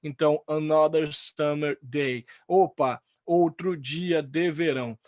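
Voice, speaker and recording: A Brazilian man teaching an online English lesson, lively and full of fillers and restarts. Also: fairly high pitch, slow pace, no echo.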